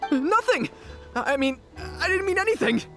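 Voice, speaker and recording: A woman's voice: very high voice, very animated, moderate pace, heavily acted. A woman voicing a timid, boastful young boy in a video game, flustered and stammering. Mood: frightened. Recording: clear music behind the voice.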